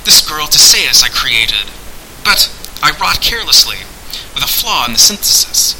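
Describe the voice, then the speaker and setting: A man's voice: fairly high pitch, big pitch swings, normal speed, bright, forceful tone, a little hiss or hum, pitched above the performer's own voice, full character voice, poor audio. A man voicing a commanding, courteous unseen sorcerer who speaks in formal, declamatory archaic phrases. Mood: amused.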